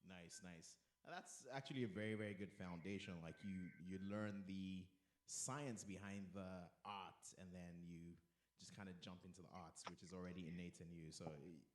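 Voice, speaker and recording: Upbeat radio host, deep-ish voice, playful banter, roomy echo. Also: male voice, fairly even intonation, moderate pace. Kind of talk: casual radio interview. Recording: echoey room.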